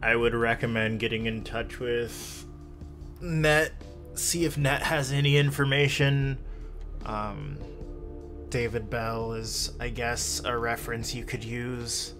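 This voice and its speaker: A man's voice: medium pitch, fairly even intonation, takes it slowly, a bit bright, soft background music. A man playing a businesslike, self-promoting investigator in a tabletop game, talking in a matter-of-fact way.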